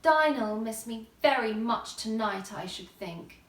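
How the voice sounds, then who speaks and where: A curious little girl from a storybook, acted out by a woman, high and very animated. She muses aloud to herself, chatty, earnest and a bit dreamy. The sound is roomy, away from the microphone. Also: a little slow, distant mic. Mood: earnest, musing. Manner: musing aloud to herself, wondering.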